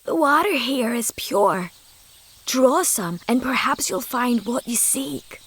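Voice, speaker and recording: A woman voicing a kind fairy in the guise of an old woman, speaking in formal, measured pronouncements. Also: a little high, lively intonation, a bit bright, breathy edge, full character voice. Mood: gently testing. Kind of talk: fairy tale character acting.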